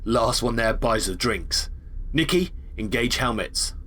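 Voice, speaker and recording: An actor playing a brisk, cocky soldier comrade who gives matey orders in a video game parody drama. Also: male voice, medium pitch, animated, a bit bright. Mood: brisk, commanding.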